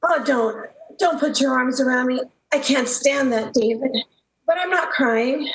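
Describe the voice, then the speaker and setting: A woman's voice: high voice, a little slow. A woman playing a proud, lovestruck young woman in an emotive, melodramatic 1930s-style radio drama. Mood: hurt, proud. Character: lovestruck, proud young switchboard operator, heartbroken. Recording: roomy echo, background noise.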